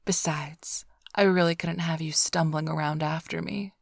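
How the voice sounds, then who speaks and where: A woman's voice: sits low, steady tone, moderate pace, no echo. A woman playing a flirtatious, smug female vampire thief in a whispered, teasing ASMR roleplay, drawling close to the microphone. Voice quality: breathy, whispery. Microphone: close-up studio microphone, dry.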